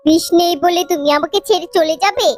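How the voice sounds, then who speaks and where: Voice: very high-pitched, fairly even intonation, fast talker, soft background music. A performer voicing a lovestruck male ant suitor in a melodramatic cartoon romance. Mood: hurt, pleading.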